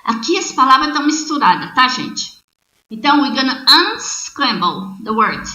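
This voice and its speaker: A woman's voice: sits high, big pitch swings, normal speed, pushed and bright. A woman with a Brazilian accent, a native Portuguese speaker, teaching an English lesson and spelling out sentences word by word in an explanatory way. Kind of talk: bilingual online grammar lesson. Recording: roomy, muffled lo-fi audio with faint noise.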